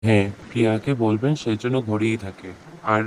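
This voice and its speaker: Male actor as earnest, resolute cartoon man, lowish voice, emphatic lines, faint noise behind. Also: steady tone, normal speed, close to an everyday voice, poor audio. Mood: urgent. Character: earnest, resolute men of a horror cartoon: heroes, villager, policeman. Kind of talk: cartoon dialogue acting.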